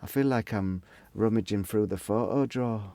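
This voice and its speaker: A man's voice: fairly low pitch, moderate pace, vocal fry, mellow, no echo. A middle-aged man, rambling reflectively in a casual solo monologue, hesitant and thinking aloud as he goes. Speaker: solo video blogger.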